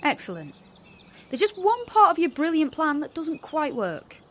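An actress playing a sarcastic, anxious young rebel in a dystopian audio drama, expressive and easily exasperated. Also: female voice, high-pitched, moderate pace. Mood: sarcastic, doubtful. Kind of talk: audio drama acting with first-person narration.

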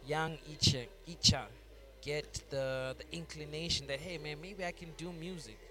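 A man's voice: on the high side, fairly even intonation, normal speed. A man hosting a casual interview in an informal, slangy way, probing with follow-up questions. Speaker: podcast host, interviewer.

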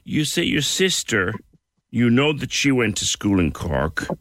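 A man's voice: mid-range voice, very animated, measured pace, a bit bright, no room sound. A man hosting a radio interview, asking short questions and often answering with brief acknowledgements. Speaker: radio presenter.

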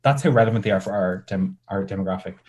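A young man with an Irish accent and a somewhat low voice talks casually as a podcast guest. He is dry and sarcastic, and he often makes fun of himself. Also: quick pace, lo-fi sound, over a noisy crowd. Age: young adult. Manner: sarcastic, joking, self-mocking. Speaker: guest, content creator.

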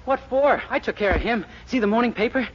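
A man's voice: very high-pitched, fast talker, husky. An actor playing a naive, eager and cocky young man in 1940s radio comedy, with a boyish, guileless manner. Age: young adult. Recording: muffled, hissy 1940s radio recording.

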